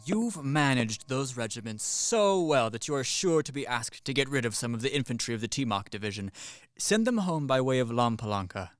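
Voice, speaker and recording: A man's voice: mid-range voice, lively intonation, fairly quick, no room sound, studio quality, lightly acted. A man playing a practical Swiss army captain in his thirties in a radio comedy, matter-of-fact and emphatic. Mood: brisk, businesslike. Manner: matter-of-fact, emphatic, reeling off lists and figures. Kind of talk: stage-comedy radio play acting.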